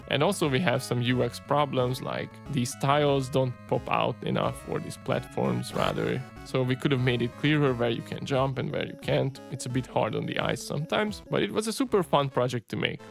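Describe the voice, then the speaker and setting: A man in his thirties, a native Hungarian speaker, chatting casually in a relaxed way as he narrates his own game projects over gameplay. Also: medium pitch, creaky. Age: 30s. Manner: relaxed and chatty, peppers speech with "right?" and "you know". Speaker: game developer and channel host.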